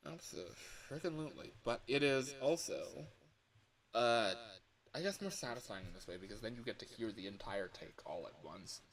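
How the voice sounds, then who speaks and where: A man chatting casually as a livestreamer, rambling and halting, often correcting himself and sometimes swearing. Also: medium pitch, expressive, a bit bright, rough recording. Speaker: livestreamer recording voice lines.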